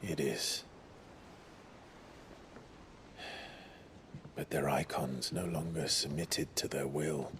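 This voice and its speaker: A man's voice: rumbling low, steady tone, measured pace, background noise. A man playing a weary, resolute warrior and sworn protector in a cinematic game, speaking earnestly and with restraint. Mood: grave. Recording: orchestral music behind the voice.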